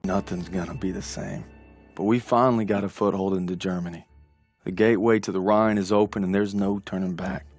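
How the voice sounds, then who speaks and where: An actor playing a young American soldier, an earnest, weary wartime narrator in a war game. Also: male voice, low voice, steady tone, brisk, darkish tone, faint music behind. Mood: wistful. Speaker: infantry private.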